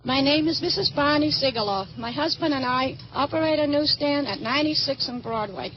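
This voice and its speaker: A woman's voice: sits high, brisk. A woman, a newsstand operator, giving a stiff prepared statement for radio. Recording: dull, noisy old field recording.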